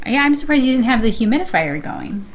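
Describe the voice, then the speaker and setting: A woman's voice: on the high side, sing-song, quick pace, slight background noise. A woman chatting casually as a guest on a game stream. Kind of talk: casual chat.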